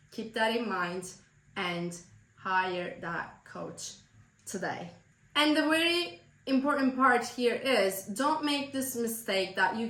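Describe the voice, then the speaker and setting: A Turkish-accented woman speaks slowly and emphatically, coaching the listener directly. Her voice is bright yet a little hoarse from a cold. The sound carries a roomy echo. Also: mid-range voice. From Turkey. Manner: earnest, emphatic, direct address. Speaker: business coach. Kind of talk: motivational vlog advice.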